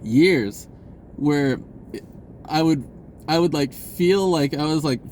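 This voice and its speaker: A man's voice: fairly high pitch, slow. Casual rambling man, noisy background. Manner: animated, giggly, informal delivery peppered with "like" and "dude". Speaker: vlogger telling a personal story. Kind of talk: casual, rambling personal storytelling.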